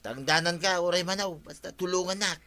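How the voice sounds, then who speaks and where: A scheming cattle owner from an Ilocano radio comedy. His voice sits a little high and has a forceful, bright edge. He talks like a brash villager with an excuse ready. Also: fairly quick. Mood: pleading, casual.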